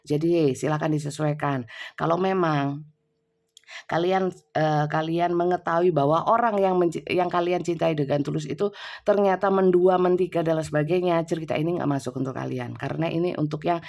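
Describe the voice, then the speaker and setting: Gravelly woman reading tarot with a cold. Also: deep voice, steady tone, normal speed, a little mellow. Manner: chatty, many fillers and tag "ya". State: has a cold. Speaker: tarot reader. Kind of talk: casual general tarot reading. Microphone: phone's built-in mic, no external mic.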